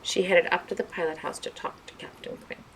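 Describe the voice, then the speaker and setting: A woman's voice: lowish voice, steady tone, brisk, a bit bright, a little hiss or hum, low-quality recording. A woman narrating a children's mystery storybook as a read-aloud storyteller.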